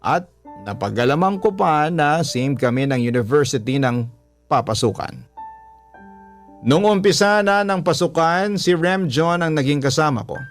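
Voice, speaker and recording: A man tells a scripted first-person story for a radio drama. His voice is medium in pitch, with music playing behind it. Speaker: radio show story reader.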